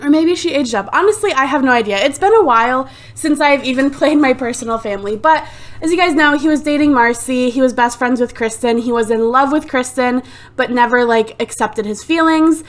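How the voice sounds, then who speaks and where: A woman's voice: high voice, fairly quick. A woman giving casual, rambling commentary over a life-sim game on a desktop USB mic. She is sick with a sore throat, sounds a little phlegmy, and fills her talk with "like" and "um". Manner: chatty and rambling, lots of "like" and "um". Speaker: let's play host. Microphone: desktop USB microphone.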